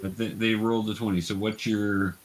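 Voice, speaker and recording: A man's voice: on the low side, flat delivery, fairly quick, crowd noise. Patient man guiding a tabletop game. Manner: patient step-by-step instructing. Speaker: game master. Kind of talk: running a tabletop game for young beginners.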